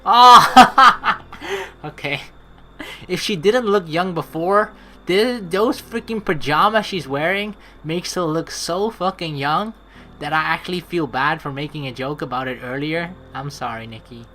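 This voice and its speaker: A woman doing casual let's play commentary and reading game dialogue aloud in an expressive, playful, sweary way. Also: low voice, a little slow, slight background noise.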